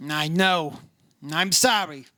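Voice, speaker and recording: A man acts out a brisk insurance investigator from an old radio detective play. The voice is high and bright, with clipped, businesslike delivery in both narration and questioning. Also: no echo, studio quality. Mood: concerned, probing. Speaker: actor playing the lead investigator. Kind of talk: 1950s radio detective acting, scripted narration.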